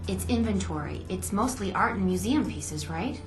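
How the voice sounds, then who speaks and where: A woman's voice: medium pitch, expressive, normal speed, natural voice. A woman playing a spy's concerned, inquisitive ally in a video game, talking in an earnest, questioning way. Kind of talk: video game cutscene dialogue. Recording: roomy sound with music behind.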